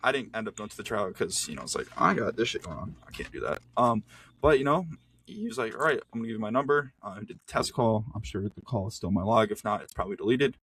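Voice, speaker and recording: A man's voice: fairly low pitch, big pitch swings, fast, fairly bright tone, husky. A man in his late teens chatting casually on a podcast, telling anecdotes in loose, slangy phrasing. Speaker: podcast co-host. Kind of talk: casual chat, personal anecdotes.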